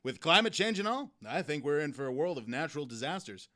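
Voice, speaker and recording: A man's voice: on the high side, sing-song, fast, sharp tone. A man playing an upbeat, chatty local TV news presenter in an audio drama. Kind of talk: news broadcast patter.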